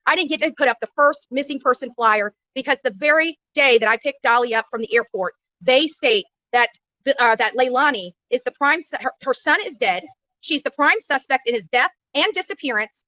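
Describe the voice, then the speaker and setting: A woman's voice: high voice, expressive, fairly quick, bright, forceful tone, no room sound, recorded from a distance, highs cut off. A woman livestreamer and activist giving an impromptu press interview, speaking emphatically and indignantly and repeating phrases for force. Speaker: YouTube livestreamer and missing-person activist being interviewed. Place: at a county jail, press interview.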